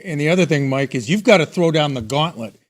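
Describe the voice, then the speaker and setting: A man's voice: fairly high pitch, animated, fast. Opinionated male sports talk host. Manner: opinionated, conversational.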